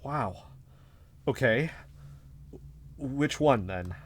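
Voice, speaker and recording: A man's voice: mid-range voice, expressive, very slow, slight background noise. A man playing a shaken but stubborn podcaster in an audio drama. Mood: shocked, stubborn. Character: shaken, stubborn male podcaster determined to expose the truth.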